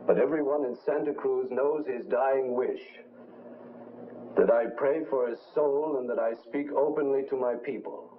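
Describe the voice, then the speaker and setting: An actor playing a dignified, solemn Latin American president in exile, speaking formally in a 1950s film drama. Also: male voice, mid-range voice, moderate pace, slight background noise, close to an everyday voice. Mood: solemn, resigned. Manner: formal, grave, eloquent. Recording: muffled old film soundtrack.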